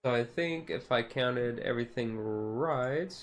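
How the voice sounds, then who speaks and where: A man streamer giving a casual, chill card-deck walkthrough, halting and self-correcting, his voice a little tired. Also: mid-range voice. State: voice tiring. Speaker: streamer, card game hobbyist.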